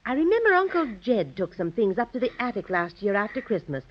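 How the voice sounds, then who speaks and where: An actress playing a gentle, cautious mother with a soothing, careful manner in a 1930s radio drama. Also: on the high side, big pitch swings, fairly quick, a little hiss or hum. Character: gentle, cautious, fretful mother of young twins. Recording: dull 1930s radio recording.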